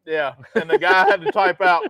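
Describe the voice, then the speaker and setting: Lively Southern man with a drawl. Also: sits high, normal speed. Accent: Southern US, Mississippi. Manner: laid-back drawl, laughs often, reads viewer comments. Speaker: cooking show co-host. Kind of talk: casual chat.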